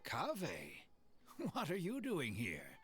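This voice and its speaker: Young earnest game hero, kinda high, voice swings all over. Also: male voice, huge pitch swings, slow pace, a bit bright, slightly rough, faint music behind, full character voice. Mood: startled.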